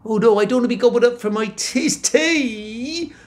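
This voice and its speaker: A man's voice: extremely high pitch, normal speed, voice pushed up. A man voicing a small, nervous boy called Jack who stammers. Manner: stammering, hesitant.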